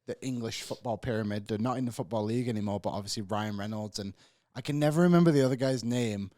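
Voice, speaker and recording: Male sports podcast co-host, quick and rambling, dry close mic. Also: lowish voice, dark tone, no room sound. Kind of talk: casual sports chat.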